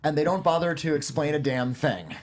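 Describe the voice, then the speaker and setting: A man's voice: fairly high pitch, animated, fairly quick, a crowd in the background. A man hosting a film discussion, explaining things at length in a wry, conversational way. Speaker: host of a film review panel show.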